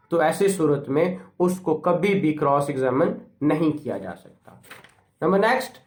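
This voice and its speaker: High-pitched Pakistani man lecturing, echoey room. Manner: didactic, emphatic, repeats key phrases and asks rhetorical questions. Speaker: law lecturer. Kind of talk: classroom lecture on law, mixing in English terms.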